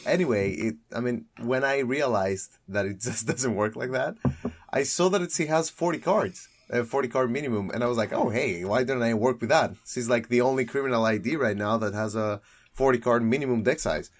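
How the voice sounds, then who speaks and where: A young man from Greece, speaking at an ordinary mid pitch. He rambles through his explanation, restarting sentences and breaking into laughter as he goes. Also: a little fast. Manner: rambling, self-correcting, laughs often. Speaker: guest deck builder. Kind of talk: casual chat about a card game.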